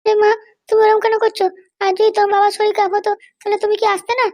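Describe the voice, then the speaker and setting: A woman voicing an evasive, placating middle-aged cartoon mother, coaxing with excuses in a pitched-up voice. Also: completely flat delivery, rapid-fire, darkish tone, no echo, clean studio sound. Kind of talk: comedy cartoon dialogue.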